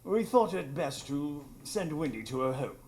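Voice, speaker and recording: A man playing an earnest gentleman narrator, telling the story in a literary manner in a live radio drama. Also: a little high, sing-song, moderate pace. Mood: reflective, dramatic. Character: earnest gentlemanly male doctor-narrator recounting adventures. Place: small improv theatre stage. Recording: roomy, noisy live stage recording.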